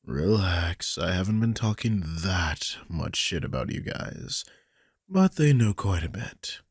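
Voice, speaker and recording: A man playing a grumpy, sardonic old vampire mentor in an intimate audio roleplay, husky and informal, prone to swearing. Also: deep voice, sing-song, takes it slowly, no echo, clean studio sound, full character voice. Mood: defensive, sardonic.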